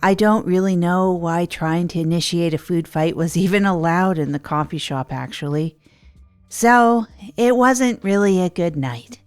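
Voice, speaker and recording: A woman's voice: on the low side, measured pace, a little mellow, smooth, faint music behind, lightly acted. A woman playing a hapless amateur comedian, telling stories in a rambling, earnest, self-deprecating way for an audio drama. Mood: rueful, confiding. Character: Tog, hapless adult storyteller and failed stand-up comedian. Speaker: narrator and radio host. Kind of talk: improvised comic monologue in an audio drama.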